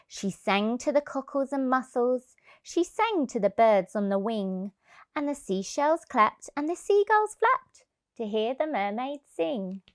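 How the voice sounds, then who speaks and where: A woman reads a rhyming picture book for young children. Her voice is fairly high and very lively in pitch, and she takes it slowly, in an expressive storytelling style.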